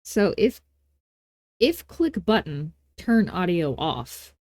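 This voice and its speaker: A woman with a lowish, mellow voice talks through a software tutorial as its host. Her manner is casual and playful, with folksy phrasing and little asides at her own expense. The recording is dry and close, without room sound. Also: sing-song, slow pace, no room sound. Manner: playful, self-deprecating asides, folksy informal grammar.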